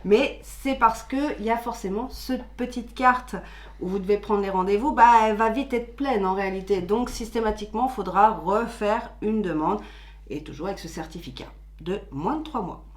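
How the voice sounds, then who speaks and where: A woman's voice: mid-range voice, moderate pace. A woman, co-hosting a channel and explaining things patiently in casual chat. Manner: chatty, explains patiently with filler words. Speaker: co-host of a theme-park channel. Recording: echoey room.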